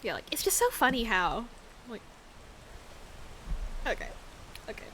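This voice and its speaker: A woman's voice: on the high side, sing-song, unhurried, fairly bright tone, a little hiss or hum, low-quality recording. A woman streaming a game, rambling casually with many fillers and giggles, with a slight lisp. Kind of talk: casual chat while gaming.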